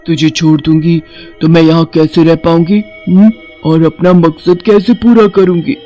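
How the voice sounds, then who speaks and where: A performer voicing an evil, scheming witch in a Hindi cartoon horror story, gloating and muttering in a drawn-out way. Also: mid-range voice, flat delivery, mellow, faint music behind, natural voice. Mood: menacing. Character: scheming evil witch who haunts a village.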